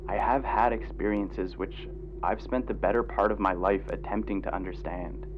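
A man playing a solemn self-taught researcher, giving a measured, formal scripted lecture on a dull, noisy recording. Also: fairly low pitch, lightly acted. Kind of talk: scripted lecture monologue in audio drama. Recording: dull, noisy, humming old-style recording.

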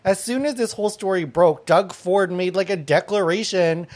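A man's voice: sits high, moderate pace, darkish tone. Laughing, blunt podcast host, Thai-born man. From Thailand. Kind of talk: casual chat.

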